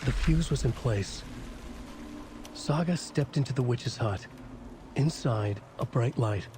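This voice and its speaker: A man playing a brooding novelist in a video game, narrating dark prose in grave, deliberate phrases. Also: medium pitch, animated, noisy background.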